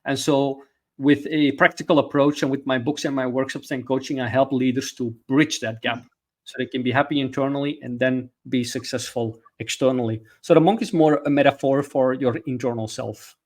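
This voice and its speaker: A man's voice: medium pitch, steady tone, normal speed, darkish tone. Middle-aged man. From Belgium. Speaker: podcast guest, leadership coach. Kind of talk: explanatory interview talk.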